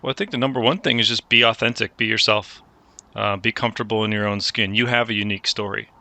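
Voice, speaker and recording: A man hosting a career advice chat, coaching a student in a relaxed way and often restarting his phrases. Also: on the low side, fast talker, vocal fry, no room sound. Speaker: host giving career advice. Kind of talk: conversational mentoring chat.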